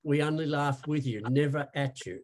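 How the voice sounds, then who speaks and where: A man's voice: fairly high pitch, normal speed, darkish tone. A man, a former cameraman, chatting casually in an interview. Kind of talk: casual chat.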